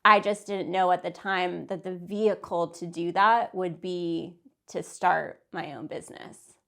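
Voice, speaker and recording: Chatty woman with a lowish voice. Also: fairly even intonation, unhurried, vocal fry, a bit bright. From Santa Fe, New Mexico, US. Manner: chatty, with "like" fillers and light laughs. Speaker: interview guest, business owner. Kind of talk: casual interview.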